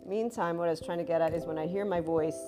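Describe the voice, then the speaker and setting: Chatty woman with a rough, deep voice. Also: steady tone, fast, loud music behind the voice. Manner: rambling, chatty, with asides. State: slightly rough, deeper voice than usual. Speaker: spiritual podcast host. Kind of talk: casual monologue.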